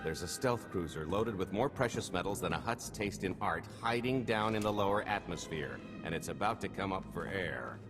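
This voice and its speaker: Cocky, low-voiced roguish smuggler. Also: male voice, animated, normal speed, hardly any pauses. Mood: sly, confident. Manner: wry, glib, swaggering banter. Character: cocky roguish male smuggler pitching a heist. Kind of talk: cinematic video game voice acting. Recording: music behind.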